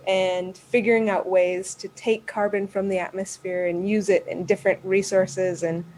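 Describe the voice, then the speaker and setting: A woman's voice: medium pitch, a little mellow, rough recording. A woman speaking as a guest expert, giving earnest explanatory answers in an interview. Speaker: guest expert on environmental communication.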